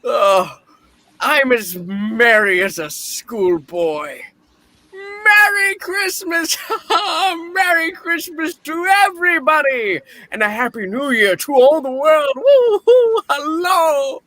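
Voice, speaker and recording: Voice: high voice, sing-song, slow, sharp tone, no echo, exaggerated voice. A performer playing a giddy, newly reformed old miser in a radio play reading, effusive and theatrical. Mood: overjoyed.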